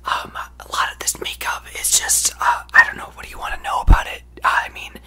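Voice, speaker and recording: A young man voicing a brash, self-absorbed young female pop star in a breathy, gushing ASMR whisper close to the microphone. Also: brisk, bright, forceful tone. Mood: boastful, breezy. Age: young adult. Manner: gushing, exaggerated, boastful, rambling. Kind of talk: ASMR roleplay, celebrity parody.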